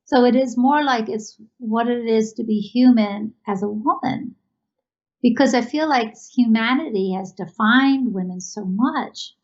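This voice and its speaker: A woman giving reflective, halting interview answers as an artist, recorded over an internet video call. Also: on the high side, a little mellow, dull audio.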